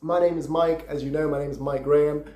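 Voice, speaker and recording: A man's voice: on the high side, fairly even intonation, fast talker, mellow, roomy sound. A man in his thirties from Brazil, hosting a podcast and telling his life story in an earnest, casual way, often repeating words as he searches for what to say. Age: 30s. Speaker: podcast host.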